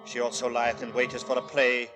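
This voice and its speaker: An actor playing a quiet, fanatically pious gentleman lodger in a 1940s radio horror drama, formal and courteous but feverish with scripture. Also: mid-range voice, completely flat delivery, brisk, lightly acted. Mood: brooding, ominous. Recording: vintage 1940s radio, music behind.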